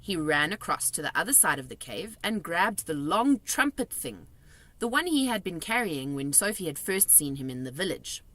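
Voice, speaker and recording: A woman reading a children's story aloud as narrator, expressive and deliberate, with a dramatic storytelling manner. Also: on the low side. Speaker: storybook reader.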